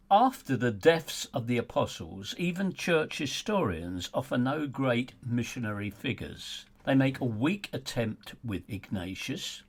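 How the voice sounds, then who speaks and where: A man narrates a scripted audiobook in a theatrical, wry style. His pitch moves in lively swings as he leans on key words with sardonic emphasis. The voice has a slightly husky edge. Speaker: author narrating own audiobook.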